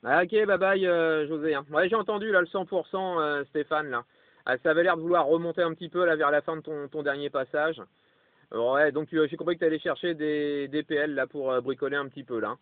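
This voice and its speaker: A man's voice: on the high side, fairly even intonation, a little fast, no room sound. A man chatting casually as a radio amateur, rambling and tacking little tags onto his phrases, over a CB radio. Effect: CB radio transmission. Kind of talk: casual two-way radio chat. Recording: narrow, tinny two-way radio audio.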